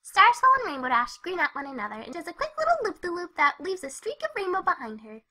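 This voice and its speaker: Casting: an exuberant cartoon pony girl storyteller, extremely high and bright, animated delivery. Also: fast, exaggerated voice. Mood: lively narrating. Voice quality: squeaky, girlish. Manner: exuberant, gushing. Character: bubbly excitable young female pony narrating. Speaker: fan fiction reader. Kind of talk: animated first-person story narration.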